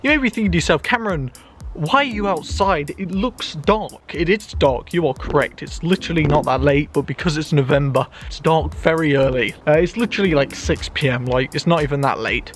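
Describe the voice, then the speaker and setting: Loud, chatty young man outdoors. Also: fairly high pitch, a little fast, faint music behind. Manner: loud and chatty, self-mocking. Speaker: YouTuber. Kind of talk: casual vlog monologue. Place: enclosed garden outdoors at night. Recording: echo from trees in the garden.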